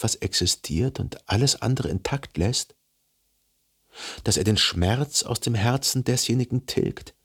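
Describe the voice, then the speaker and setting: A jealous, lovesick man narrating his own story in a radio drama. His voice is fairly low. He speaks deliberately and introspectively, as if questioning himself. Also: vocal fry, darkish tone, slightly rough. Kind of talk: literary radio drama first-person narration.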